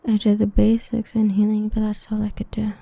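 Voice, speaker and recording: A woman playing an obsessive, protective villain with destructive powers, whispering softly and possessively into a close mic in an ASMR roleplay. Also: mid-range voice, monotone, fairly quick, vocal fry, dry recording. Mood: tender.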